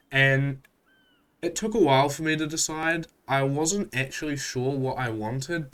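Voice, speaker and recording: A man with a stuffy voice from a cold, hosting a casual, relaxed recap of a strategy game with frequent fillers. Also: mid-range voice. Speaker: gaming channel host.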